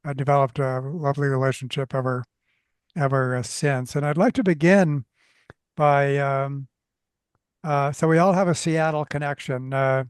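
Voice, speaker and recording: Halting male podcast host, smooth dry voice. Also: medium pitch, steady tone, no echo. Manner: halting, many restarts and fillers. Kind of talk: conversational interview.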